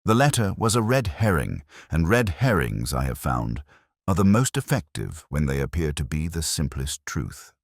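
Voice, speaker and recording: A man playing a reflective, world-weary police detective, narrating a mystery in the first person with measured, deliberate, literary phrasing. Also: very deep voice, very animated, creaky, dry recording, clean studio sound, full character voice. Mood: brooding, reflective.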